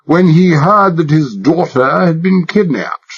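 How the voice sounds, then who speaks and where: Man doing a fussy toff, exaggerated upper-class English accent, lilting high voice, chattering, husky, lo-fi. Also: lively intonation, a little slow, heavily acted. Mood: affected. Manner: fussy, chattering, mock-polite. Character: male undercover agent faking a posh English secretary. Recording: dull lo-fi audiobook recording.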